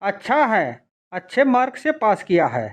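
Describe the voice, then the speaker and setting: Lady doing brisk office boss guy interviewing, approving, really animated pitch, story dialogue. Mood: businesslike. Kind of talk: acted moral-story dialogue.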